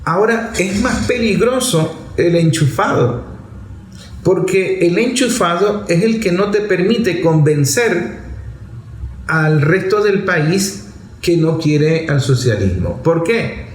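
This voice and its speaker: A man's voice: high-pitched, expressive, takes it slowly, echoey room, poor audio. A man with a Venezuelan accent giving unscripted political commentary in a blunt, colloquial, emphatic manner, often posing rhetorical questions.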